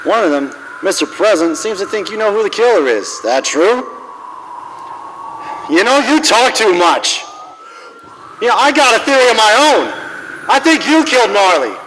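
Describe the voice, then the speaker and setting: An actor playing a world-weary, wisecracking private detective in a noir radio drama, tough, slangy and wry. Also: male voice, sits high, sing-song, moderate pace, pauses often, a bit bright, slight background noise. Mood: wry, confrontational. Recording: long hall reverb, music behind.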